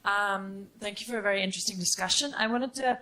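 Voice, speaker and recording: A woman's voice: medium pitch, quick pace, pushed and bright, low-quality recording. A woman in her twenties from Norway, a student audience member giving a candid, unscripted comment and question at a debate.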